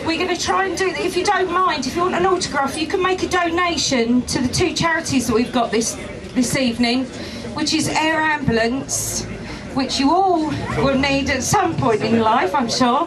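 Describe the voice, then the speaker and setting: A woman with a loud voice hosting a live event, joking and brisk with the audience. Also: high-pitched, rough recording. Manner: jokey, brisk. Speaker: event host. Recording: live event with crowd noise.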